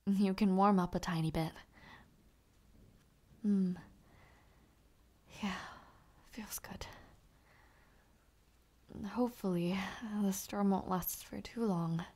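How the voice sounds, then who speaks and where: Young aspiring lady knight played by a woman, gentle and protective, unhurried delivery, soft halting speech, intimate audio roleplay. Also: medium pitch, steady tone. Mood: concerned, soft.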